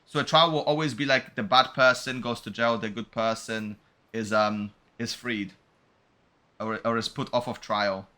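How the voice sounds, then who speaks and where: A man's voice: mid-range voice, moderate pace. A man doing casual, slangy gameplay commentary, reading dialogue aloud and reacting with animation as he streams. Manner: slangy, animated reactions, stumbles over foreign names. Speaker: game streamer playing and reacting.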